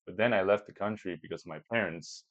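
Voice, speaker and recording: A man's voice: low-pitched, brisk, dry recording, lo-fi sound. A man in his twenties, born in Peru, whose first language is Chinese, answering interview questions casually with many restarts and fillers. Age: 20s. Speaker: podcast guest.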